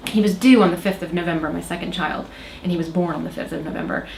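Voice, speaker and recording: A woman's voice: on the low side, quick pace, gravelly. A woman in her thirties from Oklahoma, American with a slight Irish lilt, telling a personal story hesitantly, with many fillers and restarts. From Oklahoma, USA. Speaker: interview guest. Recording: roomy echo, faint background noise.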